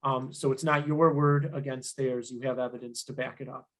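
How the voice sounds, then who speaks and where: A young man giving an instructional workshop presentation, earnest and emphatic, with frequent ums and restarts, his voice slightly hoarse. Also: mid-range voice, level tone, normal speed, fairly bright tone.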